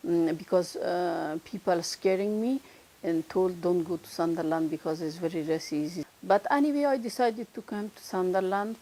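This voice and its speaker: A woman resettled as a refugee, speaking softly and hesitantly in non-native English in an interview. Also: medium pitch, rough recording. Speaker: refugee resident.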